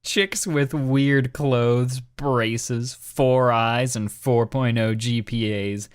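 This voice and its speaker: A man's voice: medium pitch, fairly even intonation, drawn-out words, clean tone, dry recording, studio quality. A man co-hosting a podcast, doing mocking dramatic readings and casual commentary in a sarcastic, exasperated way. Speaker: podcast co-host.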